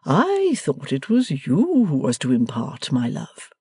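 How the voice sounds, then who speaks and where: A woman reader voicing a meek, gentle older father who speaks mildly and slowly. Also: sits low, wildly swooping pitch, breathy edge, dry recording, studio quality, heavily acted. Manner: mild, slow and soothing.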